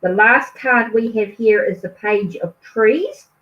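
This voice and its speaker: Tarot reader, a woman with a New Zealand accent, higher voice, reassuring, recorded far from the mic in an echoey room. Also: slow pace. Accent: New Zealand English. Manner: reassuring, ends phrases with a questioning "okay?". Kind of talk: tarot card reading for a viewer. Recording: echoey, distant, dull lo-fi sound.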